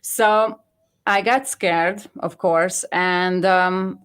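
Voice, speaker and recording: A Hungarian woman speaking English with a Hungarian accent, giving an earnest, halting personal testimony as a guest. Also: on the low side, steady tone, fairly bright tone, clean tone. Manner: earnest, halting non-native phrasing. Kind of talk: personal testimony on a talk show.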